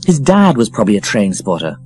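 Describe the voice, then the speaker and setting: A man's voice: fairly low pitch, sing-song, fairly quick, a little mellow, slightly rough, faint music behind. A man voicing a snobbish, scathing young woman, blunt and emphatic, for an audiobook. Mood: scornful, insistent. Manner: sneering, emphatic, cutting. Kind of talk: audiobook character voice.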